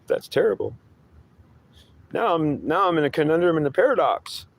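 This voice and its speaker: A man's voice: fairly high pitch, very animated, normal speed, gravelly, heavily acted. A man voicing a naive, anxious boy who pleads and protests hesitantly. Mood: dismayed.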